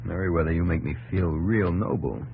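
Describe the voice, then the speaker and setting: Low-voiced wary frontier officer. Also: male voice, a little fast, a little hiss or hum. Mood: grim, wry. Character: male frontier army officer, gruff, wary, plain-spoken companion. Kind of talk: 1950s radio drama acting. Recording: old 1950s radio broadcast, loud music.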